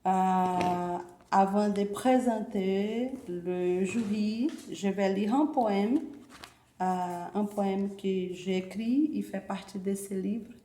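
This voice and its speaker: A Brazilian woman speaking French slowly and deliberately, with a mellow, dark tone. Her Portuguese accent is clear, and she pauses on hesitations between phrases. The sound is echoey and roomy. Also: mid-range voice, a little hiss or hum. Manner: measured, with frequent hesitations. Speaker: event presenter, teacher. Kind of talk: formal opening speech and poetry reading.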